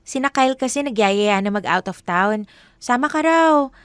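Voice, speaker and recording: A woman's voice: on the high side, expressive, moderate pace, clean tone, dry recording, studio quality, lightly acted. A woman playing a firm, loyal young girlfriend with a Filipino accent in a radio drama. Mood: casual, friendly.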